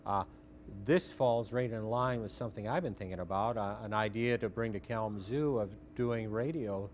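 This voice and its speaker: A man's voice: on the low side, moderate pace, slight background noise. A middle-aged man recalling things in an interview as a radio theater founder. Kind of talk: interview recollection.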